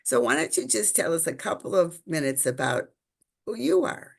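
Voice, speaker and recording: A woman's voice: fairly low pitch, big pitch swings, normal speed, gravelly. A woman from Illinois, hosting an interview and asking probing conversational questions. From Illinois, United States. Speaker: podcast host.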